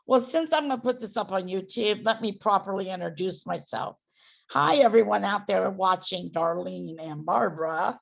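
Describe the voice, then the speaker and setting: A hoarse woman dog trainer giving loud, emphatic instructions in a casual chat over a video call. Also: mid-range voice, moderate pace. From San Francisco Bay Area.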